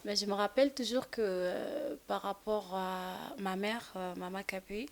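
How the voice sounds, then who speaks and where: A soft-spoken woman from Congo, a gospel singer, gives hesitant interview answers. She often pauses on fillers before going on. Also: mid-range voice.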